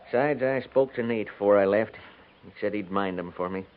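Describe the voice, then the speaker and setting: An actor playing a stubborn, weary frontier homesteader in a 1950s radio western, with terse, folksy rustic speech. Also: male voice, lowish voice, a little fast, close to an everyday voice. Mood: plain, defensive. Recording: noisy, tinny 1950s radio recording.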